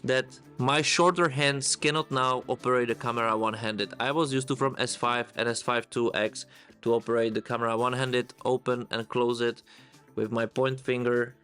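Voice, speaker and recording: A man's voice: mid-range voice, steady tone, normal speed, fairly bright tone, smooth. A photographer talks through his camera review in loose, eager English. His voice sits in an ordinary middle range. Faint music plays beneath him. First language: Czech. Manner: enthusiastic, loose non-native phrasing. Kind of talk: casual gear review.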